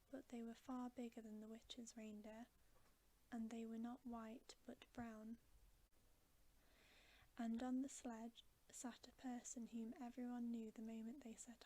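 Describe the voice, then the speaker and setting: A woman reads a children's novel as ASMR for bedtime. Her voice is breathy, mostly whispered and mellow. She takes it very gently, at a slow, soothing pace. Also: fairly high pitch, poor audio. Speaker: ASMR storyteller.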